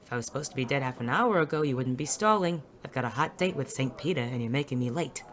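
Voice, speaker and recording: Woman playing a low-voiced, sardonic noir male detective. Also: quick pace. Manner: deadpan, sardonic. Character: wry, gruff male noir police detective, narrating his case. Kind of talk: noir audio drama dialogue and first-person narration. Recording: music behind the voice.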